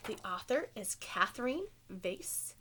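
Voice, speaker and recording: A woman's voice: medium pitch, big pitch swings, slow, pushed and bright, slight background noise. A woman teacher reading a picture book aloud to children, expressively and with simple questions for the listeners. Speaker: elementary school teacher.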